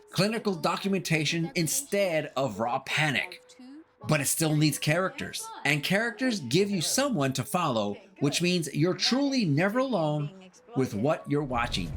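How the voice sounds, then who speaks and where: A man's voice: on the high side, fairly bright tone. A man narrating a scripted horror video essay.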